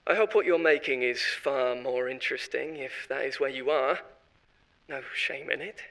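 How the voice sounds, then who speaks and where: A man's voice: fairly high pitch, bright, forceful tone. An actor playing a boastful, self-satisfied former corporate consultant who chats on and laughs at his own jokes. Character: smug, boastful male corporate insider. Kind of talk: audio drama monologue.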